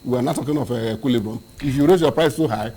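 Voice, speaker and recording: A man's voice: medium pitch, rapid-fire, over a noisy crowd. A Nigerian man co-hosting a newspaper review, opinionated and argumentative, firing off pointed questions. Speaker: co-host.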